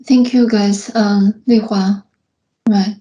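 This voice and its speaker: A woman's voice: mid-range voice, fairly even intonation, slow pace, dark tone, highs cut off. A woman chairing a webinar, introducing the next speaker in a hesitant, apologetic way with fillers. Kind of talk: casual introduction.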